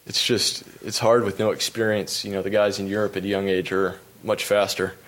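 Laid-back young cyclist telling race stories. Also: male voice, lowish voice, brisk, rough recording. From United States. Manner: relaxed, lots of fillers, laughs at himself. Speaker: pro cyclist giving a talk. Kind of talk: storytelling presentation with slides.

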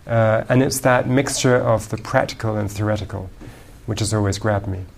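Low-voiced English man with American tinge. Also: level tone, moderate pace, creaky. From England. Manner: fluent but with frequent ums and repeated words. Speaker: guest author. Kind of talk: conversational interview answers.

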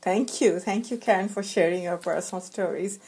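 A woman's voice: lowish voice, moderate pace, darkish tone, husky. A woman moderating a panel, putting questions in a polite, somewhat formal way. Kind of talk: moderated discussion, posing questions.

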